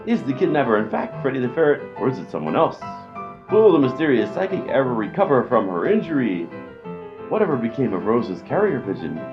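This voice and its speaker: A man's voice: mid-range voice, very animated, a little fast. A man announcing a comedy serial in a mock-dramatic radio narration style. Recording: muffled lo-fi sound, music behind.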